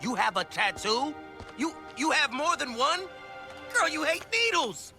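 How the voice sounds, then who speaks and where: An actor playing a protective, fond father of a teenage daughter in a video game cutscene, over loud music. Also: very high voice, big pitch swings, a little slow, full character voice. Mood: incredulous. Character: protective middle-aged father of a teenage girl.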